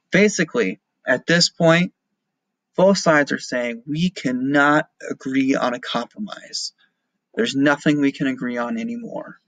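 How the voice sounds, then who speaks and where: Bright, higher-pitched American male teacher. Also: dry recording, rough recording. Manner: checks in with frequent "okay". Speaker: history teacher. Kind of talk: read-aloud lesson with informal explanations.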